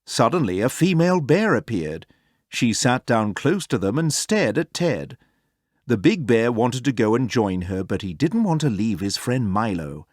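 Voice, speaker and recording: A man narrates a children's storybook in a medium-pitched voice. His intonation is lively and expressive, with clear phrasing. The recording is close and dry, with no room around the voice. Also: moderate pace, no room sound. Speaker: storybook reader. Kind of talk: animated children's read-aloud narration.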